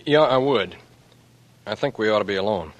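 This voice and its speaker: An actor playing a cocky, suspicious young blackmailer in an old American radio drama, talking in a terse, wary way. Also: male voice, fairly low pitch, expressive, moderate pace, natural voice. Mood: suspicious, curt. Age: young adult. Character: cagey, brash young small-time blackmailer, suspicious and cocky. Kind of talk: 1940s radio drama acting. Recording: noisy vintage radio broadcast recording.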